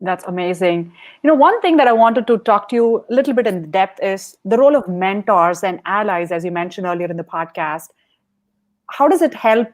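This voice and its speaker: A woman's voice: mid-range voice, animated, moderate pace. A woman hosting a podcast interview who asks prepared questions in an upbeat way. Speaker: podcast host.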